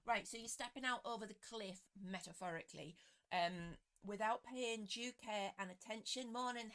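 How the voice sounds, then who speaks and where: A woman with a slightly croaky voice, reading tarot cards for a live audience in a chatty, self-deprecating way. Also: mid-range voice, a bit bright. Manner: chatty, self-deprecating, greets viewers by name. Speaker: tarot reader hosting a live stream. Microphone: computer webcam setup.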